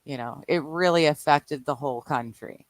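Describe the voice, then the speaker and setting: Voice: medium pitch, normal speed, dry recording. A guest on a casual online call, chatty and hedging, laughing often as they speak. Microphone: remote call over Discord.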